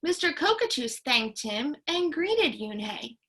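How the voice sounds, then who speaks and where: A woman teacher reading a picture book aloud to children with expression, pausing to explain things along the way. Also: high-pitched. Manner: expressive, pauses to explain to young listeners.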